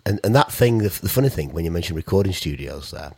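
Deep husky man with a sore throat. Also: lively intonation, quick pace, darkish tone, no echo, clean studio sound. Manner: stammering restarts, easygoing. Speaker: radio co-host, musician. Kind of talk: casual chat.

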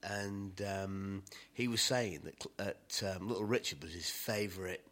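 A man's voice: sits low, fairly even intonation, unhurried, fairly bright tone. A man hosting a casual chat, rambling with lots of fillers and restarts. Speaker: host.

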